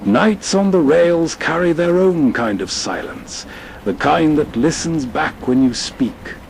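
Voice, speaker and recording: A man hosting with slow, atmospheric scripted narration. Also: on the high side, lively intonation, vocal fry, poor audio. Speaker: host. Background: faint train noise.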